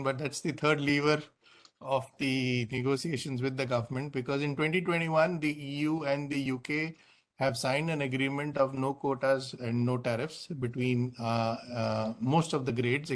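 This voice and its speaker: A man speaking as a company executive on an earnings call, explaining in unscripted answers with many pauses and repeated words. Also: mid-range voice, steady tone.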